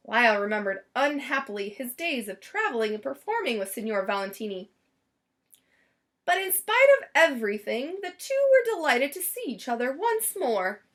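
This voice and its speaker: A woman's voice: a little high, fairly bright tone. A woman reading a children's story aloud expressively, stretching and stressing words for drama. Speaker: storybook reader.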